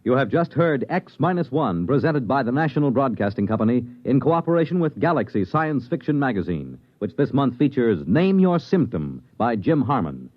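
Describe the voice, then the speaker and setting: A man's voice: mid-range voice, dry recording. A man announcing a 1950s radio broadcast from a script in a formal, polished style. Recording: dull vintage radio broadcast recording. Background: music.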